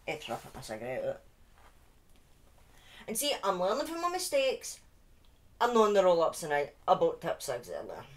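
A woman's voice: low-pitched, slight background noise. A middle-aged British woman with a speech impediment, chatting casually and telling stories on a livestream in an informal, dramatic way. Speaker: live streamer telling true crime stories. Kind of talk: casual livestream chat and storytelling. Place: small dim room indoors. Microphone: desk microphone on a boom arm, close.

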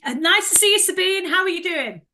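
A woman's voice: extremely high pitch, animated, a little fast. A woman in her fifties with a Mancunian accent, chatting as an interview guest about her career. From north of England. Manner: chatty, warm storytelling with frequent "um" and "you know". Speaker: guest, entrepreneur and podcaster. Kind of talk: casual interview chat.

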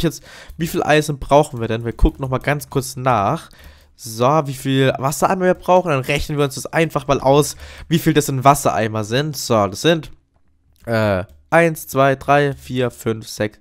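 A man with a cold, giving chatty, upbeat commentary while playing a video game and often addressing his viewers as friends. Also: on the high side. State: has a cold. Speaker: gaming YouTuber. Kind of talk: casual Let's Play commentary.

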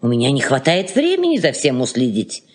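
A fussy old aunt in a Soviet radio play. Her intonation is very lively, moving between gushing hospitality and nagging, always in charge. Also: rumbling low, a little fast, exaggerated voice. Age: older. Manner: effusive, nagging.

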